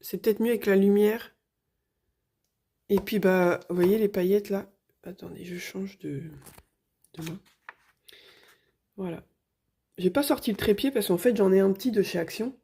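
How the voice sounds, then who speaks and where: Brief: hoarse middle-aged woman vlogger, mellow tone, meandering chatty delivery from a home desk. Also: mid-range voice, moderate pace, long pauses. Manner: rambling, full of fillers and restarts. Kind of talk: casual chat.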